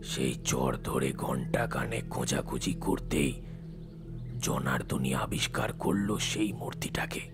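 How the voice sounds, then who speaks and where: A man playing a solemn, compassionate tantric telling a horror audio story in a slow, hushed, deliberate way. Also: extremely low pitch, expressive, creaky, music in the background, full character voice. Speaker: narrating lead character.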